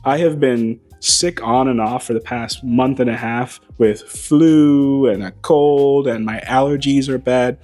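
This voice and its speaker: Host, male, slightly hoarse voice, wry and playful delivery, mid pitch, small clip-on mic in a hangar, faint music under him. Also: fairly even intonation, measured pace, few pauses, darkish tone. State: losing his voice, slightly hoarse. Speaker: aviation channel host. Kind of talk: casual monologue to camera. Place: beside a plane in a hangar. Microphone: small wireless mic.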